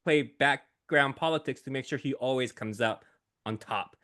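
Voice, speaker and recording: A man who is a guest on a podcast, talking in a casual, animated way and laughing often. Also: medium pitch, moderate pace.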